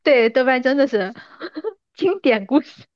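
A woman's voice: high-pitched, big pitch swings, measured pace, dry recording, lo-fi sound. A woman in her thirties in a casual, teasing chat between old friends. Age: 30s. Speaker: podcast guest.

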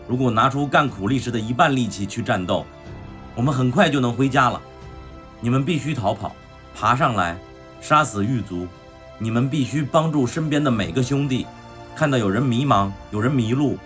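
A commanding prison foreman, acted by a man in a radio drama, urging his fellow inmates to rise. His voice is medium in pitch, with emphatic, deliberate orders and defiant resolve, over a light musical score. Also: fairly bright tone, close to an everyday voice. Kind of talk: dramatized radio-play speech.

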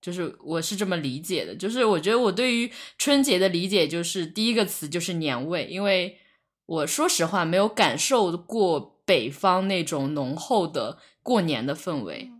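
A woman podcast host in casual chat, speaking in a halting way and often repeating her words. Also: lowish voice, big pitch swings.

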